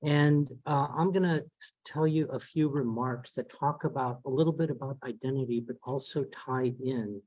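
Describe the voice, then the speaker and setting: Soft-spoken man telling stories. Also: medium pitch, dry recording. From San Antonio, Texas. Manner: soft-spoken, folksy, halting. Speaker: guest speaker, federal statistics agency director. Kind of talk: storytelling keynote. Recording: dull lo-fi sound.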